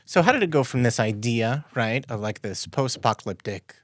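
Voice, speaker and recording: A man hosts a casual interview podcast in a mid-pitched voice. His questions ramble and stop and start informally, and the sound is close and dry with no room around it. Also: lively intonation, a little fast, no room sound.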